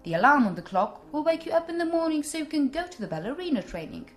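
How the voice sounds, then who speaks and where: A woman voicing a kind, patient father bear in a storybook, explaining things gently. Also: sits high, very animated, fast, faint music behind, voice pushed up.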